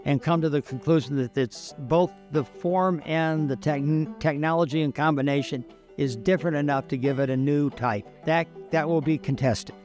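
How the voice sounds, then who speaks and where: An older man gives measured explanations as an archaeologist in a documentary interview. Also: on the high side, darkish tone. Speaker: archaeologist, stone-tool analyst. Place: outdoors, open yard at dig site. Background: music.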